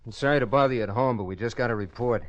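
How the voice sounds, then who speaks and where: A man's voice: medium pitch, racing, muffled recording, close to an everyday voice. An actor playing a businesslike male police dispatcher in an old radio drama, clipped and official. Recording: faintly noisy old radio recording.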